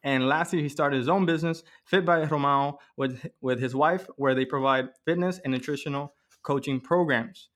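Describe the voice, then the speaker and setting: A man hosting a podcast, reading a guest bio and then asking relaxed, friendly questions. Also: a little high, normal speed. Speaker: podcast host. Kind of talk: casual interview hosting.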